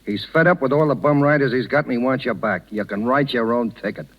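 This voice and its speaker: A man's voice: medium pitch, quick pace, lightly acted. An American actor playing a blunt, friendly circus showman with plain, slangy talk in a 1930s radio drama. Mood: insistent. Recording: muffled 1930s radio broadcast recording.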